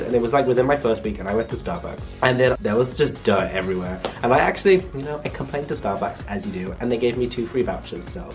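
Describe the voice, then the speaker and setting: Chatty young English man vlogging. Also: mid-range voice. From England. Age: young adult. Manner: chatty, lots of "like" fillers. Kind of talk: casual vlog monologue.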